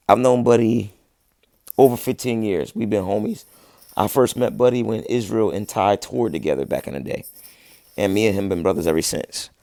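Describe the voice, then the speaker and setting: A laid-back man in his thirties, hosting a casual livestream and answering viewers in relaxed slang. His voice sits low and gravelly and is wearing thin, as he says he is losing it. The sound is close and dry, with no room echo. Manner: laid-back slangy talk, frequent restarts. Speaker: livestream host, musician.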